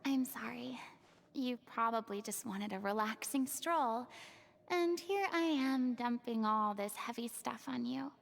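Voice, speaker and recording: Remorseful young woman, game character, soft half-whispered voice, gravelly, high-pitched. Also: a little slow. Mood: wistful. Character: remorseful young woman, former goddess pretender.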